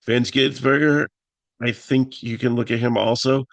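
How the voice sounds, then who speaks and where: A man with a raspy voice hosting a casual fantasy picks talk, halting with many fillers and restarts. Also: medium pitch, steady tone, dry recording. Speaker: fantasy sports analyst host.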